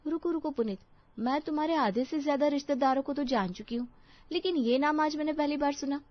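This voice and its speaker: A woman's voice: high-pitched, fairly quick, dry recording, dull audio, voice pushed up, lightly acted. A woman voicing a young, eager new bride, polite and chatty in a dramatized family story. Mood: curious.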